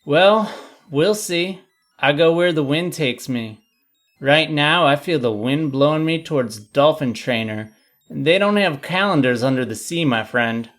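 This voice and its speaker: A man's voice: fairly high pitch, animated, measured pace, a bit bright, soft background music. A man playing a laid-back, drifting thrill-seeker brother in an audio comedy, breezy and slangy. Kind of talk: audio comedy drama acting.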